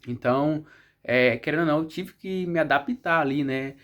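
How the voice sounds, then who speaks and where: Male vlog host, Brazilian Portuguese accent, slightly high voice, informal delivery peppered with tag questions. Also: moderate pace, fairly bright tone. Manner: frequently checks in with tag questions. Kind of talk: casual chat to viewers.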